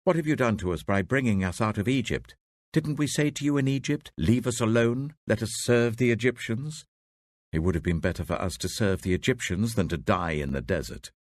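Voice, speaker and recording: A man voicing a frightened, bitter Israelite in a scripture reading, agitated and accusing, with a raised husky voice. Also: lowish voice, expressive, fairly quick, a little mellow, no room sound, pitched above the performer's own voice. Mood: panicked, accusing. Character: frightened, bitter Israelite men complaining to their leader.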